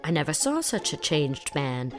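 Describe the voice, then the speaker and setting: Sighing village matron acted by a woman: plaintive, lowish voice, gently critical, storybook gossip over faint music. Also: big pitch swings, moderate pace. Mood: mournful gossip. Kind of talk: audiobook character acting.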